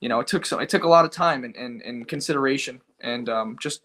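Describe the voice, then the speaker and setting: A man's voice: mid-range voice, fast talker, a bit bright. A man with a Rhode Island accent, a company founder talking casually as a guest, rambling and full of fillers. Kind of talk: casual interview talk.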